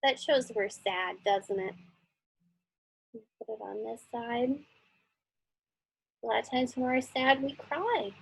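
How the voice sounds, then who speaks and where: A woman's voice: on the high side, slow pace, gravelly, long pauses, husky, rough recording. A woman teacher casually talking children through a drawing step by step, thinking aloud and often checking in with little questions.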